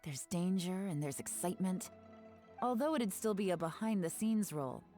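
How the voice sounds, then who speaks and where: A low, composed actress's voice for a shrewd woman of espionage in a video game. She is confident and teasing, speaking in a measured, sly way over soft music. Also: animated, close to an everyday voice. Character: cool, confident female intelligence agent, teasing and shrewd. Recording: game audio with background music.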